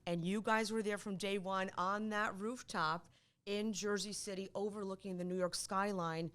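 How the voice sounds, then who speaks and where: A middle-aged woman from Poland, whose first language is Polish, speaking as a divorce attorney in an interview, emphatic and quick to repeat herself when excited. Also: mid-range voice, clear, resonant tone. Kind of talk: conversational interview.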